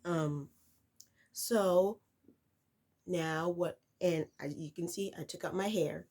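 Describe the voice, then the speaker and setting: A woman's voice: on the low side, slow, darkish tone. A woman vlogging casually to camera, congested and nasal from a cold, rambling with lots of fillers and restarts. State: stuffy nose from a cold.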